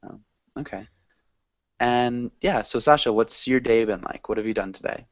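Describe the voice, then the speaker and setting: A man teaching an online English class, patient and encouraging, correcting grammar gently. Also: mid-range voice, normal speed, dull audio.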